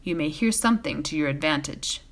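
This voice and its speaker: A woman voicing a knowing, secretive male wanderer in a storybook, with a dry, measured, reproving manner. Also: low voice, expressive.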